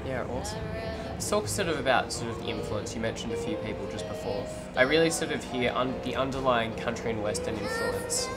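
Male host, youthful, casual filler-heavy questions, loud music behind. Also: medium pitch, brisk. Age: young adult. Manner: upbeat, filler-heavy with "sort of". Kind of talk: casual interview. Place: pub room at a gig. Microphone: camera framing, seated at table. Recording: loud live band in background.

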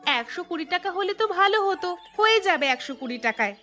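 A woman playing a practical, chatty village housewife in a Bengali cartoon, speaking in an expressive, homely way. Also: very high voice, a little fast, faint music behind, exaggerated voice. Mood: haggling.

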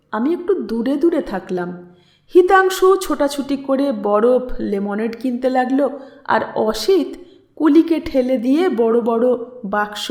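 A woman narrating a literary story expressively, in a measured, dramatic way with pauses. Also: high-pitched, a little mellow. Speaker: audiobook story reader. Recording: echoey room.

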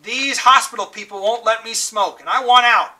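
A man reading aloud and voicing a mean, ornery young tough who talks loud and brash. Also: very high-pitched, normal speed, voice pushed up, full character voice.

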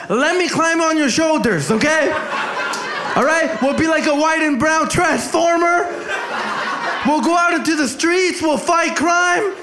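A young man from El Salvador doing a brash, crowd-working stand-up set on a club stage, holding a handheld mic. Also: extremely high pitch. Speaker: stand-up comic. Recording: echoey club with crowd noise.

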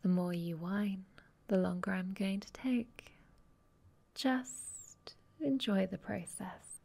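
A woman playing a dominant, affectionate vampire girlfriend in an intimate ASMR roleplay, speaking softly and tenderly, often in a breathy whisper, close to the mic. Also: mid-range voice, fairly even intonation, full character voice. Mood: teasing, patient. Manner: slow, tender, teasing. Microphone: close microphone.

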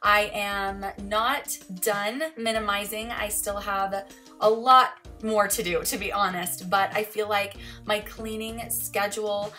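Thirties woman chatting easy about tidying her house, laughs a lot, soft music behind. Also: mid-range voice, takes it slowly. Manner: chatty, relaxed, laughs often. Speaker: vlogger. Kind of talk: casual voice-over chat. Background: soft music underneath.

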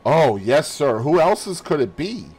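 Man as audiobook housemaid, raised pitch, lively intonation, indignant, respectful, emphatic, plain-spoken. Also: measured pace, husky, exaggerated voice. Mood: earnest, respectful. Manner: emphatic, deferential. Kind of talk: audiobook character voice.

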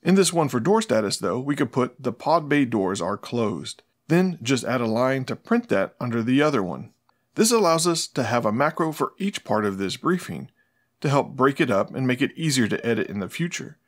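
A man's voice: medium pitch, very animated, normal speed. A middle-aged man, presenting a scripted tutorial in a plain-spoken, explanatory manner. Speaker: host of a smart home tutorial channel.

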